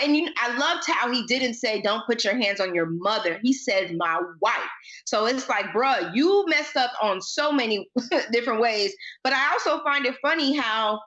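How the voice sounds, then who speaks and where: Bright-voiced woman podcaster, slightly dull audio. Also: sits high. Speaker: podcast co-host. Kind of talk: casual TV recap chat.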